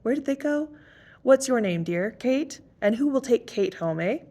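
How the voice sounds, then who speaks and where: A woman's voice: fairly high pitch, expressive, normal speed, dark tone, pitched above the performer's own voice. Woman putting on a doting old fortune teller. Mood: chattering. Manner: doting, sing-song, calls everyone dear. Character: fussy, cooing old female fortune teller, tiny and bustling.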